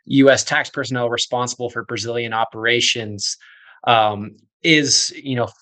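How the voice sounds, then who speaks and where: A man's voice: medium pitch, steady tone, moderate pace, sharp tone. A man hosting a podcast interview, talking conversationally and checking in with "right?" and "you know". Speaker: podcast host.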